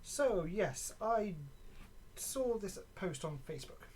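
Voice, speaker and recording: A gaming video creator with a medium-pitched voice talks in a casual, off-the-cuff way. The delivery is halting and conversational, as in a show-and-tell vlog. There is some noise behind the voice. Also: expressive, a little mellow. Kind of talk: casual vlog chat showing items. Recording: clearly noisy background.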